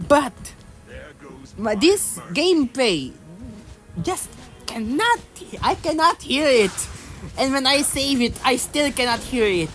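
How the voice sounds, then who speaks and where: A woman's voice: mid-range voice, fairly bright tone. A young woman casually voicing over a mobile game tutorial, rambling with lots of fillers and restarts and slipping into an exasperated rant. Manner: exasperated ranting. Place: home voice-over recording. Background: faint background music.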